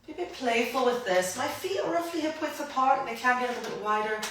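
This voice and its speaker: A woman guiding a grounding movement practice, gentle and invitational, her voice a little croaky from hay fever. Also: on the high side, animated, recorded from a distance. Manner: gentle, invitational, unhurried guidance. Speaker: somatic practice guide. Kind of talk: guided movement instruction. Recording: roomy echo, voice from afar.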